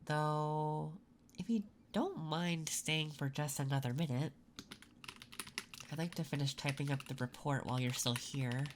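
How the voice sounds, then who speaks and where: A woman playing a curious, quietly flirtatious job interviewer in an ASMR roleplay, speaking softly and intimately. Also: sits low, noisy background. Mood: quietly focused. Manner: soft-spoken, unhurried, intimate.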